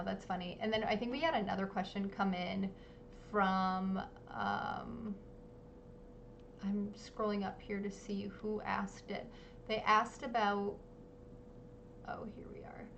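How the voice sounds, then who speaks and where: A woman hosting a live-streamed artist interview, talking casually with lots of ums and quick apologies. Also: mid-range voice, fairly even intonation, dull audio, a little hiss or hum. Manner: chatty, many ums, apologetic. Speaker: livestream interview host. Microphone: home streaming setup.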